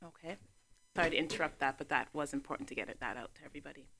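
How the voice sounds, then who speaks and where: A woman hosting a casual talk show interview, chatty and quick to laugh. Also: low voice, noisy background.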